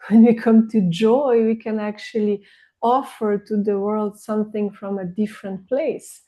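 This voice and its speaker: A middle-aged woman co-hosts a podcast conversation with a mellow, warm voice. She responds affirmingly and poses reflective, open questions to her guest. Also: mid-range voice, fairly even intonation, a little slow. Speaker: podcast co-host. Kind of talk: conversational interviewing.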